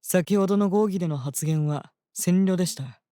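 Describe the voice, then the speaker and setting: A woman's voice: fairly low pitch, moderate pace, dark tone, no room sound, clean studio sound, natural voice. A woman playing a stern senior temple seer in a fantasy drama, lecturing in an articulate, cutting manner. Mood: cool disapproval. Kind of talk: dramatic scripted voice acting.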